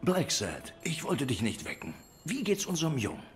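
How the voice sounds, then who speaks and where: A bored dog policeman from a noir detective game. His pitch leaps about constantly as he rambles, insistent and self-righteous about his tidy life. Faint music plays behind him. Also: wildly swooping pitch, normal speed. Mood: chatty, bored. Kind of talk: video game voice acting, film noir.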